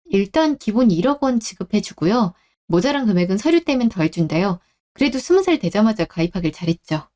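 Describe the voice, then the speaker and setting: A woman playing a gentle, apologetic young wife who speaks politely and softly in a radio drama. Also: medium pitch, very animated, fairly quick, close to an everyday voice. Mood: polite, reassuring. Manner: soft-spoken, conciliatory, polite. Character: gentle, apologetic young married woman, a patient daughter-in-law. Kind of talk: Korean radio drama acting.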